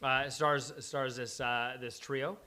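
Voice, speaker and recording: A man hosting a live script-reading event in a casual, halting, scattered way, poking fun at himself and swearing freely. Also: mid-range voice, steady tone, sharp tone. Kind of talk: casual unscripted stage introduction. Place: live event venue before a small audience.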